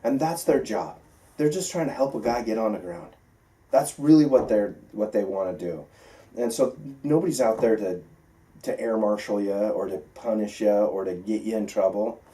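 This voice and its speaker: A man's voice: lowish voice, normal speed, mellow. An American man working as a flight instructor, giving an informal, conversational lesson with emphatic points and frequent check-in questions, picked up by a distant mic. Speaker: flight instructor teaching pilots. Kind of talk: informal classroom lecture with questions.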